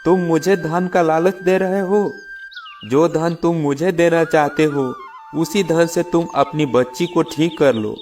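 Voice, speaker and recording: Soft music sits behind a somewhat high male voice, put on by a man. It is a stern old holy man from a cartoon fable. He speaks gravely, admonishing like a village mystic. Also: unhurried, close to an everyday voice. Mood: stern, reproachful. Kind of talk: acted children's moral story.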